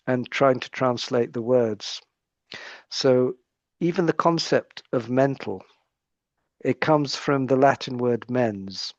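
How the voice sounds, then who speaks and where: A man's voice: mid-range voice, a little mellow, dry recording, dull audio. A British man speaking as a psychiatrist guest in an interview conversation, explaining his points in a thoughtful way and often restarting his phrases.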